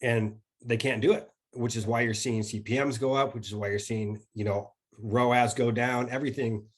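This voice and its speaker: A man's voice: medium pitch, fairly even intonation, moderate pace. A man in his thirties, a podcast guest whose voice is starting to go, explaining casually over a video call. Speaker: podcast guest, e-commerce expert. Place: indoor room at home. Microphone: video call setup.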